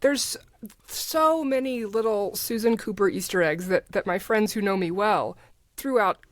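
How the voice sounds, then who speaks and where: A woman's voice: medium pitch, a little slow, no echo. A woman talking casually as a podcast guest, explaining things informally and dropping in little quoted asides. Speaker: podcast guest, writer and advertising creative. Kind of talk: casual conversational interview, explaining.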